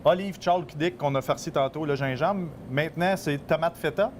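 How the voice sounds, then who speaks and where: A man's voice: fairly high pitch, moderate pace, a little hiss or hum. Eager Québécois man explaining his olives. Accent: Québécois French. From Québec. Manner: eager, many fillers, explains products. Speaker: olive business owner. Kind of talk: casual interview.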